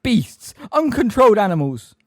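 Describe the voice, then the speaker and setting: A man voicing a pompous, terrified female official in an audiobook, high-pitched and shrieking, officious and indignant. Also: big pitch swings, a little fast, a little mellow, no room sound, clean studio sound. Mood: screaming, outraged.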